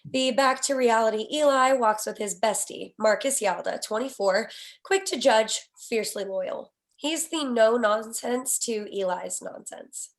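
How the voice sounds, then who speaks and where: Higher-voiced woman reading stage directions. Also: unhurried. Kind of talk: scripted narration.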